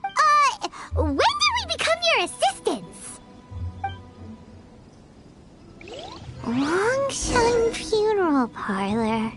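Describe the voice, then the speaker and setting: An extremely high, squeaky little fairy, childlike and blunt. The delivery is cartoonish and swoops widely at an unhurried pace, as an easily flustered game sidekick. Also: female voice, wildly swooping pitch, over loud music, heavily acted. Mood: indignant. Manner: speaks of self in third person. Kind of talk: cartoonish video game voice acting.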